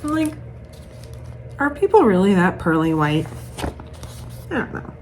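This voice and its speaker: A woman's voice: medium pitch, big pitch swings, a little slow, darkish tone. A woman chatting casually to camera as a craft vlogger, gushing and thinking aloud as she shows off patterns. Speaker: cross-stitch vlogger showing patterns. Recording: clearly noisy room ambience.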